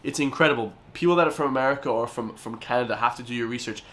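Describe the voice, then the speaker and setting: A man's voice: mid-range voice, racing, a bit bright. Irish man reacting emphatically to viewers. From Ireland. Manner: emphatic, keeps addressing viewers as guys. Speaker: reaction video host. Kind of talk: casual reaction commentary.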